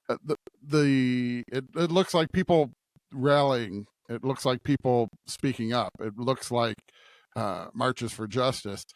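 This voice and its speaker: A middle-aged man talks over scripture as a podcast co-host, with a medium-pitched voice in a very dry, close recording. He feels his way through his points haltingly and reflectively, often seeking agreement as he goes. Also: no echo. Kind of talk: conversational scripture discussion.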